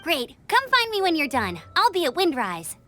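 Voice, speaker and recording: Peppy teen girl knight, very high bouncy voice, chatty and playful, game music behind. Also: wildly swooping pitch, moderate pace, bright, forceful tone, heavily acted. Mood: upbeat. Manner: upbeat, chatty, rule-quoting but playful. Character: peppy young girl knight scout, eager and bossy. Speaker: gliding examiner. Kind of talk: game character dialogue.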